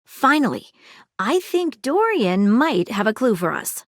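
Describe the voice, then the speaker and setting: A woman's voice: fairly high pitch, big pitch swings, a little slow, no room sound, clean studio sound. A woman voicing an eager, inquisitive young female detective in a video game, earnest and full of facts. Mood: analytical. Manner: earnest, inquisitive, fact-loving. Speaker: voice actor. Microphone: studio mic, dry booth.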